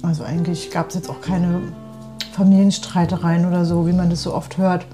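A woman's voice: fairly low pitch, little pitch movement, brisk, warm tone, soft background music. A woman in casual, reflective conversation as a guest, thinking aloud and checking for agreement as she goes. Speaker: guest in conversation, friend of host.

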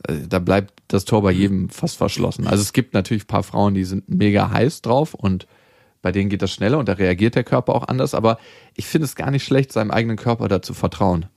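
Podcast co-host, low gravelly voice, dry close sound, jokey and frank. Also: male voice, fast, a little mellow, no echo. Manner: joking, frank banter. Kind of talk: casual chat, reading listener mail.

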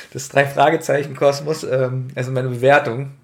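A man co-hosting a podcast, chatting casually and giving opinionated reviews with halting self-corrections. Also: medium pitch, level tone, creaky. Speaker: podcast co-host. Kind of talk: casual chat, reviewing books and audio dramas.

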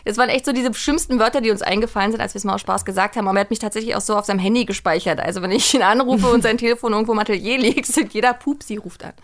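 A woman in relaxed, informal conversation, chatting freely. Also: a little high, rapid-fire, few pauses. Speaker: studio guest. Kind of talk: casual chat.

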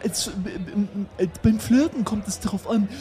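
A man's voice: high voice, normal speed, warm tone, soft background music, lightly acted. A man playing a bumbling, lecherous male dating coach who stammers and hesitates, in an improvised comedy audio play. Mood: awkward, stammering.

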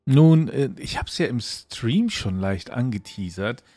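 A man's voice: mid-range voice, animated, unhurried, mellow, no room sound, clean studio sound. A man co-hosting a podcast in casual conversation. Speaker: podcast co-host.

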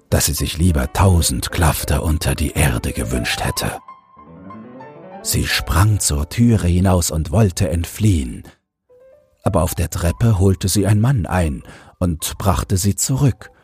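Sehr tiefer, langsamer Märchenerzähler. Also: male voice, deep voice. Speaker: audiobook narrator and podcast host. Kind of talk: scripted fairy-tale narration. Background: soft music.

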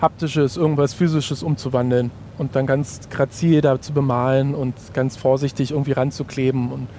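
A man's voice: level tone, moderate pace, dark tone. A man chatting casually as a conversation partner on a podcast. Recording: faint rumble of outdoor city traffic. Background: faint rumble, vehicles.

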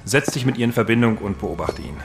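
A man's voice: lowish voice, animated, fast, faint music behind. An actor playing a worried, hesitant, fatherly superior in a fantasy audio drama.

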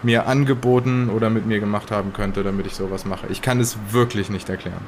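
A man playing a guilt-laden, evasive smuggler in an improvised audio drama, with terse, halting, resigned delivery. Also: lowish voice, fairly even intonation, background noise, natural voice.

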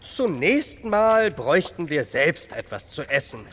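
A man's voice: fairly high pitch, very animated, measured pace, fairly bright tone, husky, exaggerated voice. A man playing a wry, playful boy adventurer with a sarcastic streak in a children's audio drama. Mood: casual, scheming. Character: wry, hungry, joking boy toy adventurer. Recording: reverberant hall with music behind.

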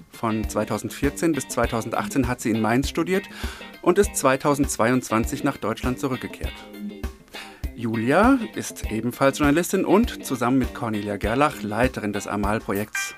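A male host who reads guest introductions and asks short, friendly questions. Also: medium pitch. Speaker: podcast host. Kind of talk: interview hosting.

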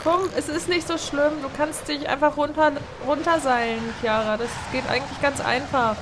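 A woman's voice: high voice, fairly even intonation, moderate pace. A young woman co-hosting a podcast, chatting and teasing in a comedic skit. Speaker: podcast co-host. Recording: noisy background.

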